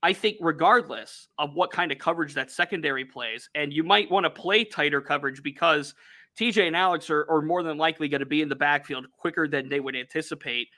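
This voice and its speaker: Bright-voiced Youngstown sports podcaster. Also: male voice, on the high side, brisk. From Northeast Ohio. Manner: energetic, reads out viewer comments. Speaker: podcast co-host.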